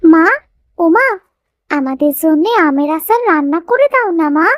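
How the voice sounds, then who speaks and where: A woman's voice: very high voice, expressive, a little slow, no room sound, clean studio sound. A woman voicing a caring, anxious young village sister who pleads and fusses in a cartoon story. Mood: eager.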